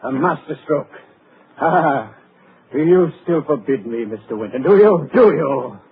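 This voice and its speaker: An actor playing a sinister mad doctor, mock-polite and gloating, in 1940s radio acting. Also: male voice, on the high side, lively intonation, unhurried, breathy edge, exaggerated voice. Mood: gloating menace. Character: sinister mad male doctor, smooth and menacing. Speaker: villain. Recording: tinny, hissy 1940s radio broadcast.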